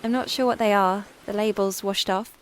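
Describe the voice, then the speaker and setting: A woman playing a frightened, weary young plane-crash survivor in an audio drama monologue, confiding in a halting, plain-spoken, wryly understated way. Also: mid-range voice, fairly even intonation, close to an everyday voice. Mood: weary, practical. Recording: clearly noisy background.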